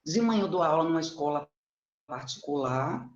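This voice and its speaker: A woman's voice: low-pitched, expressive, moderate pace. A middle-aged woman from Salvador with a Bahian Brazilian accent gives an informal online presentation as a teacher, chatty and self-deprecating, often checking with her listeners. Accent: Bahian (Salvador) Brazilian Portuguese. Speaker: mathematics teacher presenting research. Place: indoors, on an online conferencing platform. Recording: muffled, roomy online call audio.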